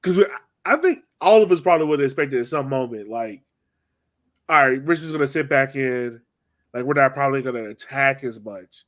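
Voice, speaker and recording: A man's voice: medium pitch, animated, a little fast, fairly bright tone. A man in casual sports podcast chat, rambling along with lots of "like" and "you know". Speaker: soccer podcast host.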